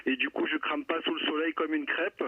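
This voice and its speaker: A man's voice: fairly high pitch, flat delivery, brisk, breathy edge, no room sound. Friendly guy doing casual ham radio chat, polite, thin phone-ish audio, very dry. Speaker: amateur radio operator. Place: outdoors, portable station on a hilltop. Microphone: wireless Bluetooth microphone. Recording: thin, phone-like band-limited sound.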